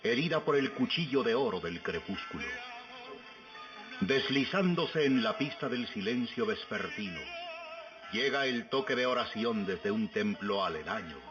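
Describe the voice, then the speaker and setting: A man giving florid, declamatory, melancholic scene-setting narration for a vintage radio drama on an old recording. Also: medium pitch. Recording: muffled distant old radio recording, loud music. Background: loud music.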